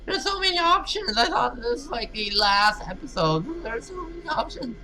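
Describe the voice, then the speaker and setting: A man's voice: extremely high pitch, very animated, moderate pace, music in the background. A man hosting a gaming video, reading story text aloud dramatically and reacting with casual asides.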